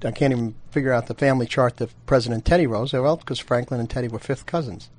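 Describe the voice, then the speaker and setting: A man hosting a radio talk show, jokey and quick with banter. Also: mid-range voice, darkish tone. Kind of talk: radio interview. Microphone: studio microphone.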